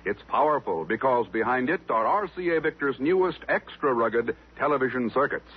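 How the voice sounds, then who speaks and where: A man's voice: medium pitch, animated, moderate pace, husky. A male announcer delivering scripted 1950s radio copy in a polished, emphatic way. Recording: tinny 1950s radio recording, music behind.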